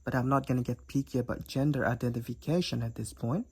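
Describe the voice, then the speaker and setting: Raspy, creaky teasing demoness played by a woman. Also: extremely low pitch, fairly even intonation, fast talker, exaggerated voice. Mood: smug, teasing. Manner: teasing, wry, theatrical, addresses the listener as Sugar. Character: cocky teasing demoness college roommate, competitive gamer. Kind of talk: roleplay audio drama.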